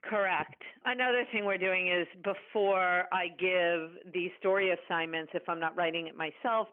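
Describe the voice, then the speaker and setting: A woman's voice: lowish voice, no room sound. A woman explaining her work step by step in a conversational podcast interview, as a guest and company founder. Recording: tinny phone-like call audio.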